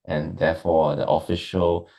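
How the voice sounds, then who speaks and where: Low creaky singaporean guy, lots of um and uh, chatting in an interview. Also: little pitch movement, normal speed, warm tone. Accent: Singaporean English. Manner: hesitant, many fillers. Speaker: interviewee, businessperson. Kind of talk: casual interview answers.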